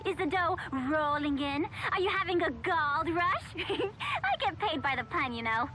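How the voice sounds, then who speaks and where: A woman's voice: extremely high pitch, very animated, measured pace, noisy background, heavily acted. A woman voicing a bubbly, chatty young debt collector in an anime game, perky and teasing. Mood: perky, joking.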